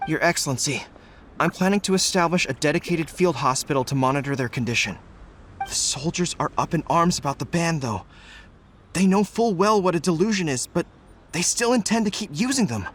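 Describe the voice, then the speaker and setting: An actor playing a dutiful, resolute army officer in a video game, formal and firm, as if reporting to a superior. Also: male voice, on the high side, animated, a little fast, a little hiss or hum, natural voice. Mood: earnest, urgent. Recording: music behind the voice.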